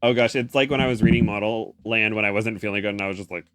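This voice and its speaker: A man's voice: fairly low pitch, steady tone, quick pace, a bit bright, smooth. A man co-hosting a podcast in a casual, playful comedic chat, silly and given to exclamations. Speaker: podcast co-host. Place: indoor room.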